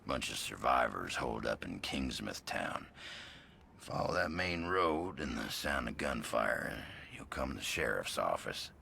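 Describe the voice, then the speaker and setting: An actor playing a grim, world-weary American drifter with cowboy swagger and a gravelly, folksy, ominous drawl in a video game cutscene. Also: male voice, very deep voice, sing-song, unhurried, pushed and bright, slight background noise, heavily acted.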